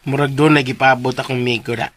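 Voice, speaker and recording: An actor playing a teasing, excitable, rather dim buddy who halts and repeats his words, in a radio drama with faint hiss. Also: medium pitch, natural voice. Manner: halting, stuttering, repeats words.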